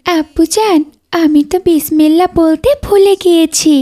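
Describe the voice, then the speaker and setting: A woman's voice: extremely high pitch, steady tone, normal speed, mellow. A woman voicing a polite, eager little girl, a dutiful daughter in a children's cartoon, speaking carefully and respectfully. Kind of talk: scripted children's cartoon dubbing.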